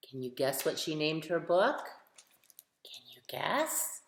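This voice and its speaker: Woman, children's storytime reader, deep voice, slow pace, expressive, questioning the listeners. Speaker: author reading own picture book aloud to children. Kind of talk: storytime read-aloud for children. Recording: roomy, echoing sound.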